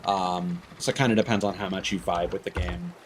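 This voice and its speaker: A man's voice: sits low, steady tone, fairly quick, a little hiss or hum. A man giving casual live game stream commentary, rambling and thinking aloud into a streaming microphone.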